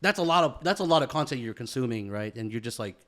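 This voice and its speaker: A man's voice: mid-range voice, animated, quick pace, fairly bright tone. A man co-hosting a podcast in casual chat with a friend, talking in slangy bro speak with plenty of swearing. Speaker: podcast co-host.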